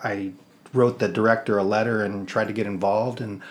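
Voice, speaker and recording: A man's voice: lowish voice, fairly even intonation, brisk. A middle-aged man with an American accent, talking as an interview guest in a casual, rambling storytelling way. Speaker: interview guest, theater producer and actor.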